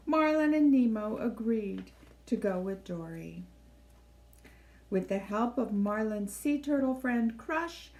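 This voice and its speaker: A woman reading a children's story aloud in an expressive, measured storytelling style, with deliberate phrasing. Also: high voice, rough recording. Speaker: library storybook reader. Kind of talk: expressive scripted read-aloud narration for children.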